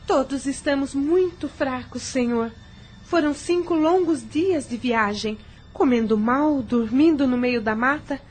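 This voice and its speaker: Loyal companion, played by a woman: humble, protective, worried, notably high register, audio drama. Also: measured pace, husky, soft background music. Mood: apologetic. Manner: respectful, pleading.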